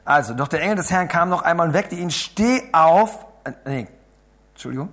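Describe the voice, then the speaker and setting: A hoarse man giving an animated sermon, chatty and asking the audience questions, swinging between loud bursts and low asides. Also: fairly quick. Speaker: preacher. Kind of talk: lively sermon to a congregation.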